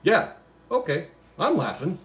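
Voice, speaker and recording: A man's voice: a little high, sing-song, measured pace. A man playing a goofy, baffled storybook reader who mixes poem recital with slangy, mock-indignant muttered asides. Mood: bemused. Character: Floyd, goofy, baffled, easily sidetracked male storybook reader. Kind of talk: comic poem reading with rambling asides.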